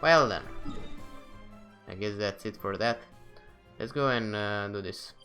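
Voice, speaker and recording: A man's voice: lowish voice, measured pace, bright, forceful tone, soft background music. A man giving casual, off-the-cuff commentary as he plays a video game. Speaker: gaming video host.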